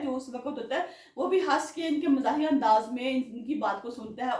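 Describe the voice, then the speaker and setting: A high-pitched woman speaks in an earnest, reproachful commentary voice that keeps pushing toward indignant questions. She sits back from the mic in a roomy, echoing space. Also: darkish tone. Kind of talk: political commentary monologue. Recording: roomy echo from a distance.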